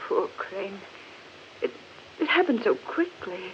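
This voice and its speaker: A woman's voice: medium pitch, big pitch swings, unhurried. An actress playing a frightened, anxious woman lost in a haunted forest, melodramatic in 1940s radio style. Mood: distressed. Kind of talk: 1940s radio horror acting. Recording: muffled vintage radio recording, slight noise.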